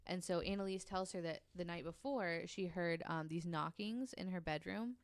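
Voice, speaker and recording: A woman co-host narrates a story to her friends in a relaxed, chatty way, stopping often for an um. Her voice sits low and sounds smooth and clear. The sound is dry and close to the mic. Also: normal speed, dry recording. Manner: narrates at length with frequent ums, reads quotes aloud. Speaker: podcast co-host telling the story. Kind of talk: casual storytelling chat.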